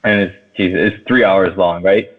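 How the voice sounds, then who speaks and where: A man's voice: deep voice, steady tone, a little fast. A man hosting a podcast in a casual, enthusiastic, sweary chat about a film, full of filler words. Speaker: podcast host. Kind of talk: casual movie review chat. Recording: thin phone-like audio.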